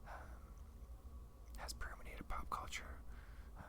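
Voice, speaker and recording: This is an ASMR host who speaks in a steady whisper. The delivery is casual and conversational, like an informal review. Phrases come out haltingly and wander as they go. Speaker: video host.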